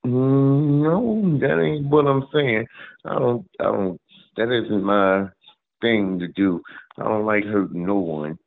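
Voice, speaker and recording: A man's voice: on the low side, takes it slowly, creaky. Laid-back man in his fifties on a tinny phone line. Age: 50s. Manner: laid-back, chuckling, repeats himself. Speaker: caller. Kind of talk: casual phone chat.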